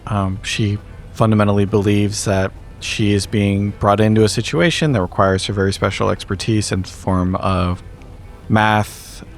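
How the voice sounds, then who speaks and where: Man, lowish voice, tabletop player, character description, faint music behind. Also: steady tone, a little slow, gravelly.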